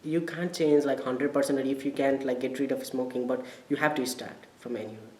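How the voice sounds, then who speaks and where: A man's voice: medium pitch, fairly even intonation, brisk, a little mellow. A young man with a Nepali accent gives casual interview answers as a college student, hesitating and searching for words. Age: young adult. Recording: echoey room with background noise.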